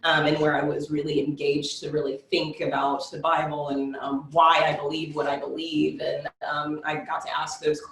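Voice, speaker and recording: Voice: medium pitch, level tone, normal speed, few pauses, a bit bright. A young adult from South Carolina introducing themselves on a church panel, reflective and full of ums. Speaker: church welcoming director. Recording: echoey room, distant mic.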